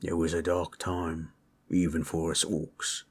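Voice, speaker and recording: A gentle orc storyteller, acted by a man with a very low voice. He takes his time, soothing a listener in an intimate roleplay audio with plain, rustic, ungrammatical speech that carries a husky grain. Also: little pitch movement, measured pace, full character voice. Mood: somber. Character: gentle, comforting male orc, rough-spoken old-lore storyteller.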